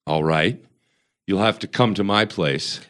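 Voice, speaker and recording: An actor playing an uneasy, reclusive record collector in a horror audio drama, hesitant and muttering to himself. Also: male voice, sits low, unhurried, a bit bright. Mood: wary.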